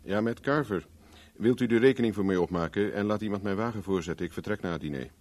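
An actor playing a cool, determined private investigator with a dry, self-assured manner in a 1970s radio play. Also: male voice, fairly low pitch, quick pace, darkish tone, natural voice. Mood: businesslike. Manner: dry, self-assured, talks to himself while searching. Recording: noisy old radio drama recording.

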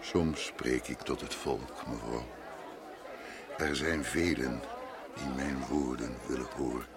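Calm prophet, extremely low gravelly voice, courteous, gently ironic, radio play, busy crowd behind. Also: male voice, takes it slowly. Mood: calm, firm. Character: calm, self-assured prophet, a humble wandering preacher. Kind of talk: radio drama acting. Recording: noisy radio play amid loud crowd.

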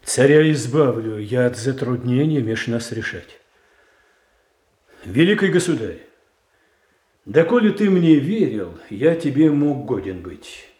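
An actor in a radio play playing a proud, calculating royal statesman with a formal, measured, firm manner. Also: mid-range voice, expressive, darkish tone, echoey room, natural voice. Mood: wounded dignity. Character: proud, controlled, calculating statesman, the tsar's brother-in-law. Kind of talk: theatrical radio drama acting.

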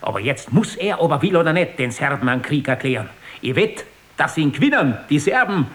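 An actor playing a cynical, flippant Austrian aristocrat in a radio drama, sardonic and drawling, with an Austrian accent. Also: male voice, fairly high pitch, big pitch swings, brisk, a bit bright. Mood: amused, mocking.

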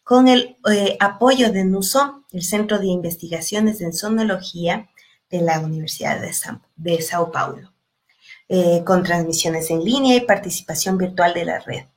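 A woman's voice: lowish voice, normal speed. A woman moderating an online meeting on a video call. She greets the audience, reads introductions and talks in a friendly way with many small fillers. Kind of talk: moderating a virtual panel, reading introductions.

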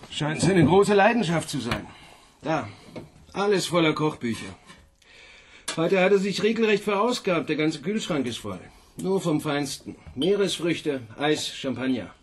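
A man's voice: a little high, slightly rough, exaggerated voice. An actor playing a junior police detective in a radio crime drama, eager and deferential, chatty with his boss.

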